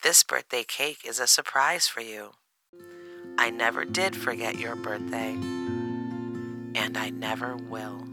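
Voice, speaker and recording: A narrator voicing a motherly female bear, gently teasing and reassuring, in a children's storybook. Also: mid-range voice, fairly even intonation, slow, pauses often, loud music behind the voice. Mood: loving. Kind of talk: children's storybook character reading.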